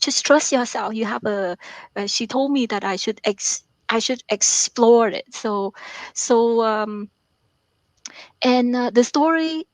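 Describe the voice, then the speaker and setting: Soft-spoken hesitant woman, dry sound. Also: on the high side, no room sound, low-quality recording. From Vietnam. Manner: hesitant, many fillers and repeated words. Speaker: author and math professor, guest. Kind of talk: interview answers.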